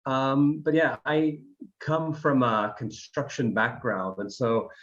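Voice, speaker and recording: A man's voice: mid-range voice, slow pace. A man in his thirties from Alabama, a design firm founder talking through his career in a loose, rambling way with many ums. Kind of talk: conversational interview. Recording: dull, lo-fi sound.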